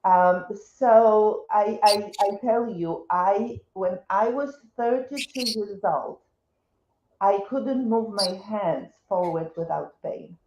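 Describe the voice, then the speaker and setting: A woman's voice: mid-range voice, slow pace, mellow, reverberant, slight background noise, low-quality recording. A woman in her seventies from Poland, speaking with a Polish accent as an interview guest, talking in a hesitant way with many restarts and fillers. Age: 70s. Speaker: interview guest, wellness coach. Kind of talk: casual interview conversation.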